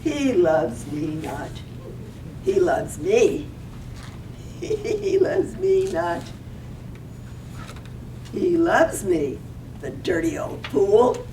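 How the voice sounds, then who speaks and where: A woman's voice: lowish voice, sing-song, very slow, pauses often, dark tone, heavily acted. A woman playing a playful, lovestruck young country woman in a reading of a radio drama, teasing and singsong. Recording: roomy, distant mic, faint noise.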